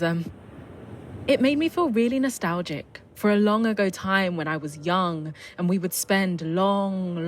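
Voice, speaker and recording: A middle-aged woman with a southern English accent, sharing personal memories in a thoughtful, hesitant, reflective way. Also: on the low side. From south coast of England. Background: music.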